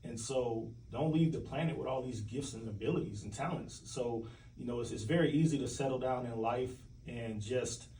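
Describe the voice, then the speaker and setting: A man's voice: medium pitch, moderate pace, dark tone. A man speaking as an interview guest in an earnest, reflective and informal way. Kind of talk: casual conversational interview. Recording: roomy, distant mic, faint background noise.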